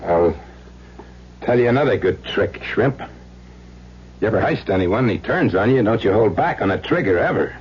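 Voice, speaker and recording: A man's voice: on the low side, lively intonation, moderate pace, pauses often, slight background noise, lightly acted. An actor playing a hardened, desperate ex-convict who talks in menacing tough-guy slang in a radio thriller. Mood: gruff, guarded. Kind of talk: old-time radio thriller acting. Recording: dull vintage radio recording.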